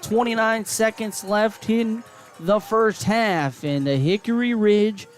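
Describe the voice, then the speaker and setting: Excitable radio play-by-play man. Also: sits high, slow, soft background music. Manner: rising to excited calls on big plays. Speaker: play-by-play announcer.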